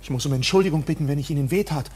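An actor in a German radio play playing an anxious, polite but insistent accused man, questioning and moving between hushed and outraged. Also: fairly high pitch, very fast, breathy edge. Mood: exasperated.

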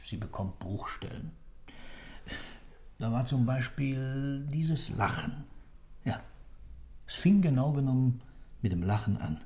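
An actor playing an intense, obsessive accused man in a radio play, insistent and fervent, swinging from loud to hushed. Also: mid-range voice. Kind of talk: German radio play acting.

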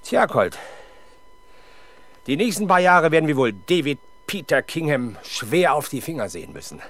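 An actor playing a world-weary, dutiful police inspector in a 1970s German radio crime drama, formal and halting in manner. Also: male voice, fairly high pitch, sing-song, a bit bright, heavily acted. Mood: grim, resigned. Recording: 1970s radio drama, clear background noise.

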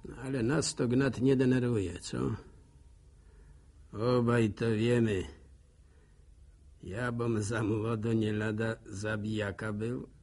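An actor playing an old village war veteran in a radio drama, musing in a folksy manner with a gravelly, husky voice. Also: male voice, fairly low pitch, fairly even intonation, takes it slowly, long pauses, darkish tone. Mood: musing, fond. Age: older. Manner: musing, folksy, chuckling storyteller. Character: old village man, war veteran, reminiscing to his dog.

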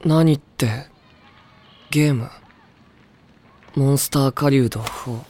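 A man playing an aloof, unbothered high school gamer in an anime audio drama, giving deadpan, mumbled short replies. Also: slow, a little hiss or hum, natural voice. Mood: indifferent.

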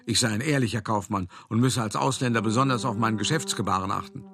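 A man playing a shrewd, worldly art dealer who recounts his past in a radio drama, in a wry, measured, conversational way. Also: on the low side, music in the background, natural voice. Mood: sly, pleased. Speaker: narrator. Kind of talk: radio drama monologue, first-person storytelling.

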